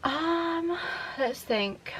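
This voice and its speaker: A woman's voice: sits high, lively intonation, drawn-out words, fairly bright tone, low-quality recording. A woman in her twenties from the UK, chatting casually to camera in a Q&A vlog, rambling with lots of fillers and restarts. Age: 20s. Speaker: vlogger.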